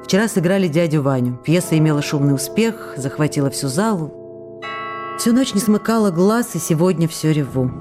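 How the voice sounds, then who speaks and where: A woman's voice: deep voice, lively intonation, moderate pace, music in the background. An actress playing an emotional, affectionate young stage actress who reads her candid letters expressively and intimately in a radio drama. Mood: anguished, dejected.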